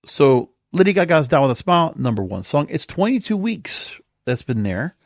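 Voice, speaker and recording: Man with lively, varied pitch, talking off-the-cuff. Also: normal speed, no echo, studio quality. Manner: off-the-cuff, thinking aloud while reading figures. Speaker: podcast host. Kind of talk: casual music chart commentary.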